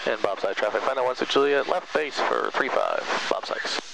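A man with a creaky, forceful edge to his voice speaks as a pilot in training. His phrasing is clipped and procedural, and a light engine hum runs under the headset audio. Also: mid-range voice, wildly swooping pitch, moderate pace, poor audio. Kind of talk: aviation radio calls and terse cockpit talk. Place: small airplane cockpit in flight. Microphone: aviation headset.

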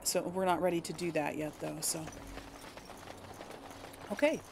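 A woman's voice: on the low side, steady tone, unhurried, gravelly, background noise. A woman giving casual game commentary, thinking aloud and correcting herself as she addresses viewers. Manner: musing, hesitant self-correcting talk to viewers. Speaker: gamer hosting a role-play playthrough.

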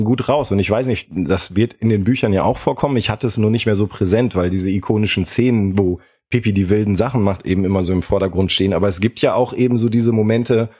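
Low, husky, mellow-voiced man chatting. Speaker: podcast co-host. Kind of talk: casual podcast chat.

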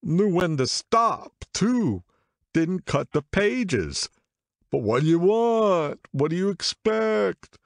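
A man voicing an excitable, tipsy middle-aged man who insists over and over, in a much higher voice than his own. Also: slow, gravelly, dry recording, studio quality, heavily acted. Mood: excited insistent.

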